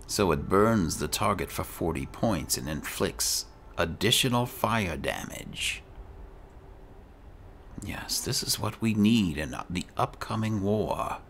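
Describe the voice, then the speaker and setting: Slow, deep, gravelly man narrating a game. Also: lively intonation, a bit bright. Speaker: gaming video host role-playing his character. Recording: faint background noise.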